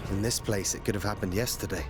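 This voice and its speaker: A man's voice: on the low side, steady tone, fast, natural voice. A man playing an earnest, level-headed young warrior in a video game, direct and restrained. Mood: calm, probing. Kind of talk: video game cutscene acting. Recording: music behind the voice.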